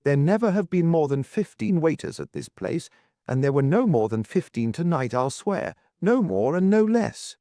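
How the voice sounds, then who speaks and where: A man voicing an eager, excitable young duke in a storybook, speaking with insistence. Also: mid-range voice, quick pace, few pauses, dry recording, studio quality, pitched above the performer's own voice, close to an everyday voice. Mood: insistent.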